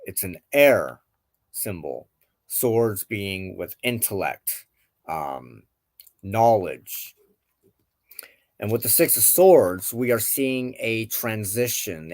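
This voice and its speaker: A man hosting a spiritual tarot reading, speaking reflectively in deliberate phrases with frequent pauses. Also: fairly low pitch, darkish tone, dry recording, clean studio sound. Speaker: host of a spiritual tarot show. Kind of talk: tarot card reading, reflective spoken commentary.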